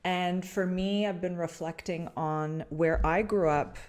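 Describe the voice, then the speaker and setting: A woman from Canada speaks in a low, unhurried voice, feeling her way through each thought. She restarts phrases and fills pauses as she reflects aloud to her podcast listeners. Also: fairly even intonation, darkish tone. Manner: slow, searching, many restarts and fillers. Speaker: podcast host. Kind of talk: reflective spiritual talk to listeners.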